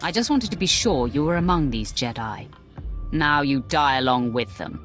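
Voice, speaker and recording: An actress playing a cool, commanding Sith warrior in a video game, curt, assured and imperious in delivery. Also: female voice, extremely low pitch, animated, normal speed, fairly bright tone, faint music behind. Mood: cold, imperious. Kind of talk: video game cutscene acting.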